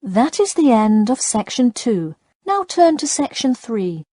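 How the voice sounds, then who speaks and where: A woman's voice: on the high side, sing-song, unhurried, warm tone, no echo. A woman announcer reading scripted instructions for a listening test.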